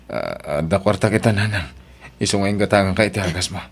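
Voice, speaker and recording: A man acting a dutiful grown son in an Ilocano radio-style drama. His voice is deep, and he comes across as caring. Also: quick pace.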